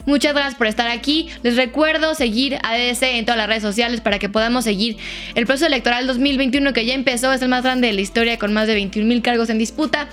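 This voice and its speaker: A Mexican woman hosts a casual talk show at a very quick pace. Her voice is on the high side, with light music behind her. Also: fairly bright tone. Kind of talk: casual radio hosting.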